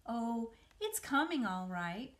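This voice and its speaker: A wise, motherly spider from a storybook , played by a woman. She is calm and comforting, speaks a little high, and gives firm instructions with reassurance. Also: slow, exaggerated voice. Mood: cool, light.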